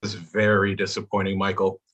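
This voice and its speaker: A disapproving man keen to save face, played by a man at a table read. His voice is lowish, with a forceful, bright edge and a rough husk. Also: monotone, a little fast. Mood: disappointed, defensive. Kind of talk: video-call table read acting.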